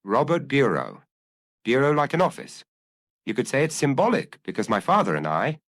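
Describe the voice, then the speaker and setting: A man voicing a troubled young man, lively pitch. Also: normal speed, dry recording, clean studio sound. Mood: resigned. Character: troubled, confessing young Frenchman, melancholy and resigned. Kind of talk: dramatised audiobook dialogue.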